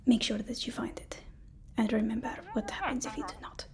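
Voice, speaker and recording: A woman's voice: fairly high pitch, a little fast, lightly acted. Whispering, fawning villain's assistant played by a woman. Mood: menacing, prim. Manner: deferential, flattering, hesitant, soft-spoken. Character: fawning, nervous female personal assistant to a super villain. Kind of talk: ASMR roleplay.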